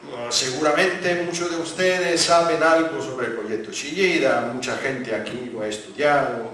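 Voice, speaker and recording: A man from Italy with a light Italian accent, giving a public lecture with slides, talking haltingly with many fillers and restarts. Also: mid-range voice, a bit bright, a little hiss or hum. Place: large conference hall. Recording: very echoey hall, voice from far off.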